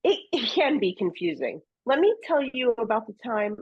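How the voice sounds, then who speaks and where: A woman's voice: a little high, very animated, moderate pace, husky. A woman voicing a polite, chatty male valet in a radio play. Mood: helpful. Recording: dull lo-fi recording.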